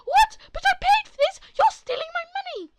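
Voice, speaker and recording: A woman voicing a shrill, hysterical entitled mother who shrieks and complains indignantly. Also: expressive, fairly quick, very noisy. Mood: outraged. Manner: shrieking, high-pitched, indignant.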